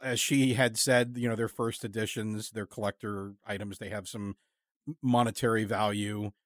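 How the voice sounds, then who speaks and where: A man's voice: on the low side, little pitch movement, normal speed, no echo, studio quality. A man hosting a casual interview, musing and joking in a wry, conversational manner. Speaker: interviewer, podcast host.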